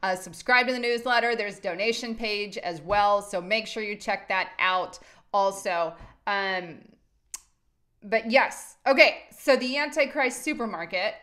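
A woman's voice: medium pitch, unhurried, fairly bright tone. A woman hosting a video-call interview from a small room at home, upbeat and agreeable. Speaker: host.

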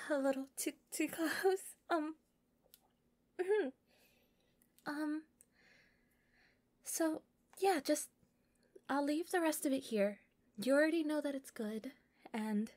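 Woman acting a shy, slow-speaking, doting yandere girl. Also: high voice, no echo. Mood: shy, flustered. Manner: soft, breathy, often whispering, giggly, stammering when flustered. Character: shy, doting yandere young woman, flustered, possessive captor. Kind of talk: intimate roleplay audio acting.

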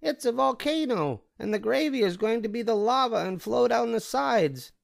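A man's voice: normal speed, creaky, exaggerated voice. A man voicing an eager, chatty young girl bear cub in a storybook reading. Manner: excited squeaky kid voice. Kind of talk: storybook read-aloud.

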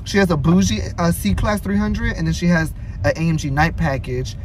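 A man's voice: a little high, steady tone, moderate pace, a little hiss or hum. A young man hosting a vlog from inside a car, chatting casually, swearing and laughing a lot. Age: young adult. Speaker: vlog host. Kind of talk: casual chat. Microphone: phone camera on the dashboard.

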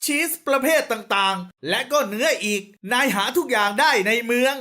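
A woman voicing a small, outspoken, fussy mouse in a children's cartoon fable, with exclamatory, expressive delivery. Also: fairly high pitch, normal speed. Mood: complaining.

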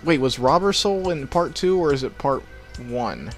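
A man's voice: on the high side, animated, normal speed. A man giving joking, crude gameplay commentary as the host of a let's play. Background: video game music.

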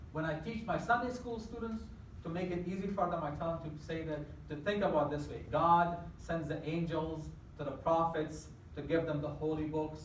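A man's voice: on the high side, distant mic. Man, campus lecturer, asks the room questions, big echoey lecture hall, faint hiss. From Afghanistan. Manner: explains with questions to the audience, walking at the front. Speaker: guest lecturer. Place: large lecture hall indoors. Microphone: far camera at the back of the hall.

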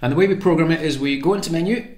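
A man's voice: a little high, very fast, a little mellow. A man giving an informal hands-on tutorial, explaining each step and thinking aloud as he goes. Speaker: presenter of a radio programming tutorial. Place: indoor room at a table. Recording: echoey room sound.

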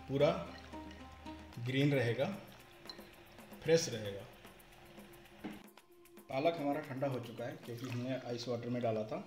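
Male cooking host, 30s, plain instructive delivery, mid pitch, roomy kitchen sound with clear background music. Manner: instructive, explains each step plainly. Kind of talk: step-by-step recipe narration. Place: home kitchen, indoors. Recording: echoey kitchen with music underneath.

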